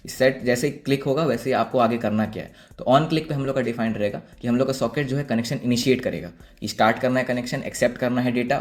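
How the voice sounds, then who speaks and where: A man with an Indian accent presents an informal coding tutorial in an average-pitched voice. His delivery is casual and offhand, as if he were explaining things to friends while going through the code on his screen. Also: darkish tone.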